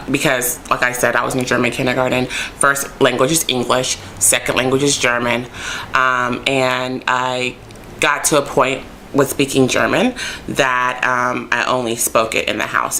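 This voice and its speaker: Female vlogger, very low pitch but bright and forward, rambling casual delivery, faint hiss behind. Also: low-quality recording. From United States. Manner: chatty, rambling, many ums and likes; animated, jumps high when excited. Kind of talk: casual chat to camera.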